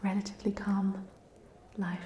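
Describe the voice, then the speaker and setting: Woman as a softly whispering, chatty ghost. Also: mid-range voice, completely flat delivery, normal speed, a little hiss or hum, natural voice. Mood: gentle, friendly. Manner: whispered, hesitant, gently rambling. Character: polite, chatty headless ghost of a drowned Hogwarts student. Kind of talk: whispered ASMR roleplay.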